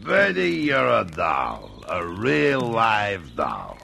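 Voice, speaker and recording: An actor playing a dim, eager, lovestruck bum in a vintage radio drama, with a gravelly voice and a slow, simple way of talking. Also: male voice, on the low side, wildly swooping pitch, sharp tone, exaggerated voice. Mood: admiring. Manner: slow, simple-minded, exclaiming. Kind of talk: 1950s radio drama acting. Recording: noisy vintage radio broadcast.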